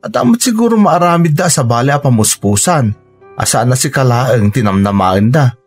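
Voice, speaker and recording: An actor playing a steady, supportive young Filipino man with an Ilocano accent, reassuring in a radio drama. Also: medium pitch, moderate pace, soft background music. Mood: reassuring, advising.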